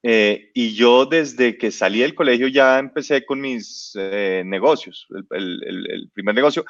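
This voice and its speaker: A man's voice: medium pitch, unhurried, no echo, highs cut off. A man in his late thirties, a business guest, telling his life story in a casual interview with many hesitations and restarts. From born in Spain, raised in Ecuador and Colombia. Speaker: guest entrepreneur.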